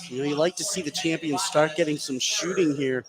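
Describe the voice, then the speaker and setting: A man's voice: on the high side, brisk, a loud crowd. A man giving live color commentary on a basketball game. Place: indoor high school gym during a game. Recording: noisy gym with ball bounces. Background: game noise, bouncing basketballs.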